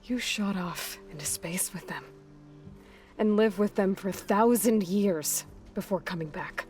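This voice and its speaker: An actress playing a wary, defiant young warrior heroine, blunt and skeptical, in a cinematic video game. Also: female voice, medium pitch, a little slow, a little mellow, soft background music, lightly acted. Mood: accusing. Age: young adult.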